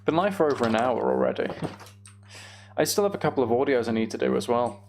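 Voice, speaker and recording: A young man giving casual, meandering gameplay commentary on a live stream, thinking aloud and chatting to viewers, with a creaky voice. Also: medium pitch, expressive, fast, a little mellow, a little hiss or hum.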